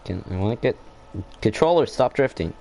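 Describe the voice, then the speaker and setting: A man's voice: fairly low pitch, lively intonation, a little fast, gravelly, mellow. A man giving casual, offhand commentary while playing a video game. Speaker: gamer. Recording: faint background noise.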